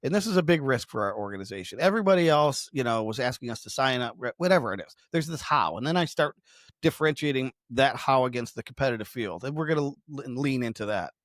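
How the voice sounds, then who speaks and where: A man's voice: medium pitch, lively intonation, quick pace, a bit bright, no echo, clean studio sound. A man explaining ideas conversationally as a business podcast co-host, emphatic and slipping into imagined customer quotes.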